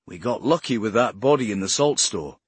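A man playing a gruff, blunt, wry police detective in an audiobook, terse and dry, with a husky voice. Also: fairly low pitch, animated, a little fast, dry recording. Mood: matter-of-fact.

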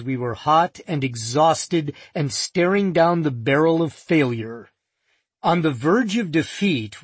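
A man narrating a first-person nonfiction audiobook in a measured, vivid storytelling manner. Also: on the high side, slightly rough, dry recording.

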